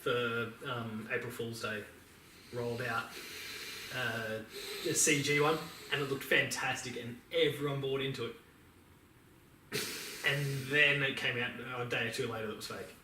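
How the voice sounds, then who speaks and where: Bright-voiced man in an echoey room. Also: medium pitch, steady tone, a little slow, long pauses. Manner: informal, blunt, joking. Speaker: podcast co-host. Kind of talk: casual chat about video games and films. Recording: echoey room, distant mic, faint noise.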